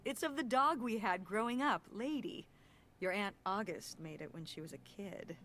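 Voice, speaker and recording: An actress playing a weary, gentle middle-aged mother in a naturalistic video game style. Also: mid-range voice, animated, normal speed. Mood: light, hesitant.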